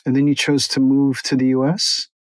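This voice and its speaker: A man hosting a podcast, giving a scripted introduction and asking interview questions in a measured, polished way. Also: medium pitch, monotone, no echo. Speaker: podcast host.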